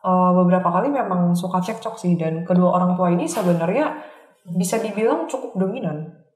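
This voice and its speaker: A woman's voice: on the low side, a little fast, darkish tone. A woman with an Indonesian accent explaining things in casual conversation as a psychic reader on a talk show, informally mixing in English words. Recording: roomy, echoing voice recording.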